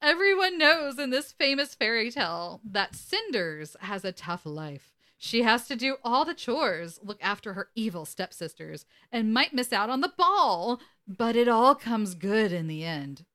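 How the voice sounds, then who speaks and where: A woman's voice: a little high, sing-song, measured pace, hardly any pauses, bright, forceful tone. A woman co-hosting a casual podcast, reading articles aloud and dropping in wry asides. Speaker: podcast co-host.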